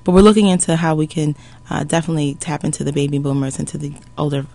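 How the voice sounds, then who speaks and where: A man speaking as a guest on a radio interview about a youth service program, in an upbeat, measured way. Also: a little high, level tone, gravelly, mellow.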